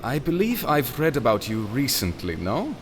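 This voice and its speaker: A suave captain who runs a secret floating operation in an audio drama. He is formal and smoothly polite, with expressive swings of pitch. His voice rings through a large hall with a little background noise. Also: moderate pace. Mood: smooth welcome. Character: suave, courteous, secretive male ship captain, master of ceremonies.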